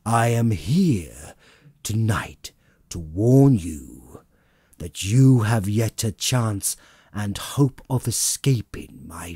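A man voicing the anguished, remorseful ghost of a dead businessman in a slow, mournful lament for an audiobook. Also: lowish voice, a little mellow, breathy edge, dry recording, clean studio sound, exaggerated voice.

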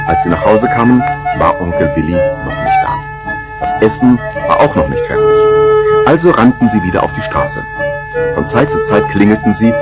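A man's voice: sits low, poor audio. A man narrating a children's radio play from the 1970s in a scripted storytelling style.

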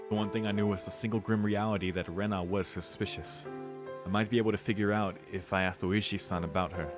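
Low-voiced man narrating a game over music. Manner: casual, profane reactions between read passages. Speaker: streamer reading a visual novel aloud. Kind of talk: let's-play narration with casual asides.

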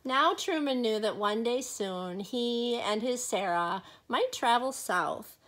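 A middle-aged woman with a fairly high voice reads a picture book to children. She takes her time, drawing words out and pausing for drama. Now and then she steps out of the text to chat with her young listeners. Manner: expressive, dramatic pauses and drawn-out words. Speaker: storyteller reading a children's picture book to viewers. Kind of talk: read-aloud storytime with casual asides to young listeners.

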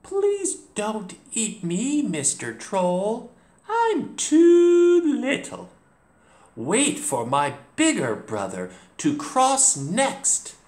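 A man's voice: high-pitched, huge pitch swings, drawn-out words, reverberant, pitched above the performer's own voice, heavily acted. A man voicing a small, timid young billy goat who pleads in a little voice, in a storybook tale. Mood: timid pleading. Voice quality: small, soft voice.

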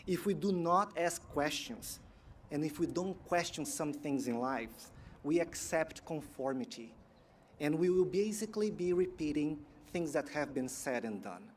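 Man, 30s, measured earnest speech, podium mic, outdoor ceremony, faint noise. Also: fairly high pitch. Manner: measured, earnest delivery with pauses. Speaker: graduation keynote speaker. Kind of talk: prepared commencement speech. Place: outdoor sports field ceremony. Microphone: podium microphone.

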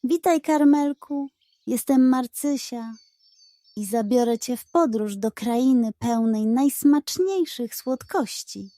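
Woman voicing a squeaky, joyful little cloud. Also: expressive, slow, mellow, dry recording. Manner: joyful, welcoming. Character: radiant little cotton candy cloud, cheerful and squeaky.

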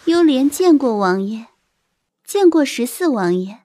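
High-pitched, simpering young concubine. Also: female voice, big pitch swings, unhurried, dark tone. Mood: fawning. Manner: simpering, affected, sweetly wheedling. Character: coquettish, scheming young concubine fawning on a prince. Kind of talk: Chinese audio drama acting. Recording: music bed behind the voice.

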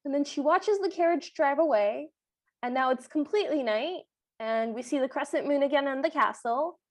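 High-pitched woman chatting through a film recap. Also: normal speed. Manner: wry, uses "like" often. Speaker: podcast co-host. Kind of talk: casual, joking film recap.

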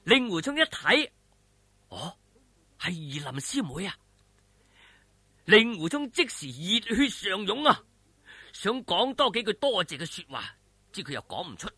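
Very bright, husky Cantonese male storyteller. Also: high voice, measured pace, long pauses, no echo. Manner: animated, colloquial, suspenseful. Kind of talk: dramatic oral storytelling of a martial arts novel.

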